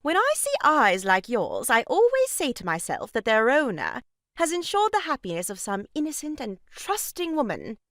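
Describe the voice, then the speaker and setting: Bossy society matron, gushing and brisk, highish swooping voice for comic audio drama. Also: female voice, wildly swooping pitch, fairly bright tone, no room sound, studio quality, full character voice. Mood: arch, poised. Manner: brisk, gushing, self-important. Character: bossy, gushing married sister-in-law, sharp-tongued matron. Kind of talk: stage farce audio drama acting.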